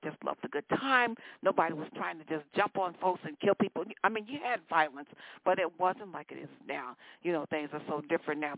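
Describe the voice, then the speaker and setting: A woman's voice: medium pitch, no echo. A woman in her sixties talking casually on air as a radio DJ between songs, chatty and repetitive, addressing listeners as y'all and baby. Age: 60s. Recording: phone-like lo-fi, dry sound.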